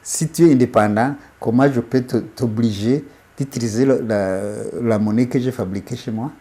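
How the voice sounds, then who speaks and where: A man's voice: mid-range voice, moderate pace, dark tone. A man, a studio guest, giving emphatic political commentary, who often repeats and restarts his phrases.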